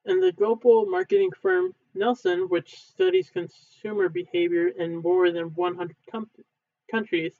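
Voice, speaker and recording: A man reading a textbook aloud in a halting way, stumbling and correcting himself as he goes. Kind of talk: unedited read-aloud of a textbook. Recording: thin phone-like low-fidelity sound.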